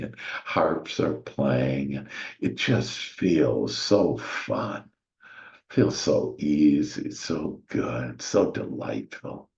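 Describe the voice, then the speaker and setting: A man leading a guided meditation in a gentle, soothing, hushed manner with long pauses and soft sighs, on a slightly muffled recording. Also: extremely low pitch, gravelly. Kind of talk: soothing guided meditation, slow spiritual visualization.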